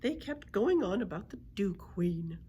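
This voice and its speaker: A woman's voice: mid-range voice, very animated, unhurried, warm tone, slightly rough, dull audio, exaggerated voice. A woman voicing a small, polite but indignant male dragon with a head cold in a children's storybook. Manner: courteous, fussy, complaining.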